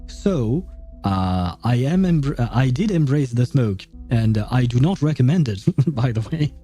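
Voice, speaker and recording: Dark, mellow-voiced man rambling on stream. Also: mid-range voice, expressive, measured pace, slightly rough, soft background music. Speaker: game streamer. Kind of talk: casual livestream commentary.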